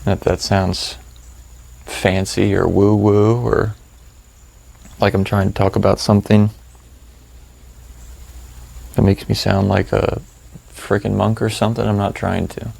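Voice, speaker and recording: Low, gravelly man guiding a slow meditation. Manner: calm, hushed, unhurried with long pauses; informal, plain-spoken. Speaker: meditation guide. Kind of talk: slow guided meditation, unscripted and conversational.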